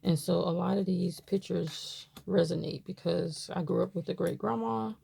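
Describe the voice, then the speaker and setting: A woman's voice: fairly low pitch, steady tone, moderate pace, creaky, dark tone, husky. A woman from Baltimore chatting casually to camera, rambling with many fillers as she shows items. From Baltimore, USA. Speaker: tarot deck reviewer.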